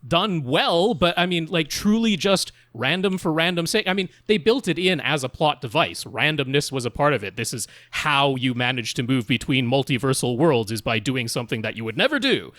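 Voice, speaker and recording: A man co-hosting a podcast in casual, opinionated film chat, talking in an animated, rambling, self-deprecating way. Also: fairly high pitch, normal speed, hardly any pauses, dry recording. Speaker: podcast co-host.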